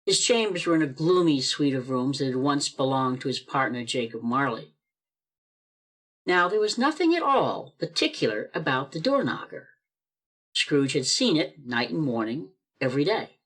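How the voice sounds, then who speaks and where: A man's voice: fairly high pitch, sing-song, normal speed, fairly bright tone. A man narrating a church radio play over a video call, reading expressively and building suspense.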